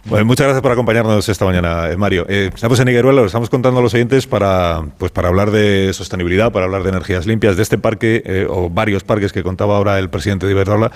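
A radio host with a very deep male voice interviews a guest on location. He talks in a loose, chatty way, often repeating words as he goes. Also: very fast, hardly any pauses.

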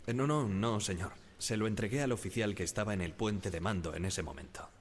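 An actor playing a deferential ship's wireless operator giving measured, careful testimony in a radio drama. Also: male voice, lowish voice, close to an everyday voice.

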